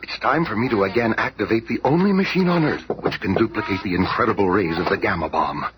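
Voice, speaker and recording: An actor playing a grave, earnest scientist with a secret, in a dramatic 1970s radio serial style. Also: male voice, lowish voice, lively intonation, fast talker, close to an everyday voice. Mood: anguished. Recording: noisy old 1975 radio recording.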